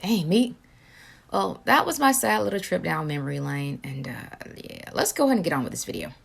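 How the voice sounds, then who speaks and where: A woman with a low, heavily creaky voice speaks in casual vlog asides. She moves along briskly, with a blunt and wry informality. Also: big pitch swings. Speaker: vlogger commenting on own footage.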